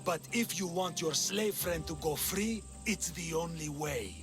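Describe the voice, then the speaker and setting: An actor playing a cruel slave master and cult villain in a video game, sneering and sarcastic. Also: male voice, fairly high pitch, a little slow, lightly acted. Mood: smug, taunting. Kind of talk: video game dialogue acting. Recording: loud music behind the voice.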